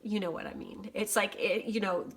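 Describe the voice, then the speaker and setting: A woman with a slightly worn, hoarse voice giving a casual general oracle card reading, swearing freely. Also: medium pitch, steady tone, moderate pace. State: voice going hoarse. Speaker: tarot reader.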